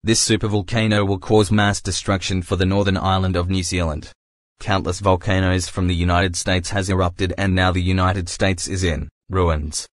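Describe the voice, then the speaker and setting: Very deep dry-sounding male alert announcer. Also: little pitch movement, normal speed, no room sound. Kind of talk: scripted emergency broadcast announcement.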